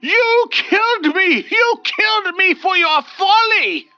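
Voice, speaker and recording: A storyteller voicing an enraged ancient tree spirit with a high-pitched, wailing delivery. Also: big pitch swings, moderate pace, gravelly, sharp tone, highs cut off, exaggerated voice. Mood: furious.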